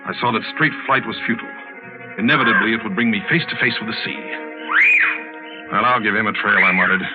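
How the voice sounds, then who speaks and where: A man's voice: lowish voice, fast, natural voice. An actor playing a desperate, defiant hunted man with intense, dramatic delivery in 1940s radio drama style. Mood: tense, determined. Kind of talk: 1940s radio acting and first-person dramatic narration. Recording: muffled vintage radio recording, loud music.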